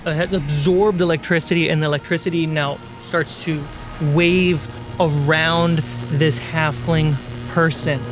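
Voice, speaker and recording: A man running a tabletop game as game master, narrating dramatically with deliberate pauses. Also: on the high side, steady tone. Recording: background music under the voice.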